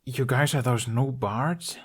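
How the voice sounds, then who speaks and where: A man's voice: medium pitch, slow, breathy edge, voice pushed up. A man voicing an awkward, exasperated young prince on the run in an audiobook story.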